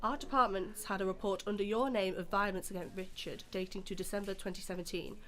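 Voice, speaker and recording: A woman playing a firm, formal police interrogator in an audio drama, with a measured official manner. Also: medium pitch, steady tone, a bit bright, lightly acted. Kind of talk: dramatic audio scene acting. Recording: clear background noise.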